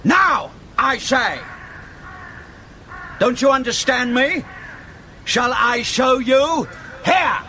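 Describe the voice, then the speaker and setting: A man's voice: very high voice, expressive, extremely slow, long pauses, pushed and bright, a little hiss or hum, heavily acted. A man playing a cruel, contemptuous outlaw lord in a radio drama, sneering, commanding and threatening. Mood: shouted threat.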